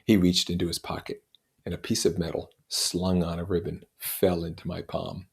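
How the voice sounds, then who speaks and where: A man reading literary fiction aloud as an expressive narrator, with deliberate, dramatic phrasing. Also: deep voice, creaky.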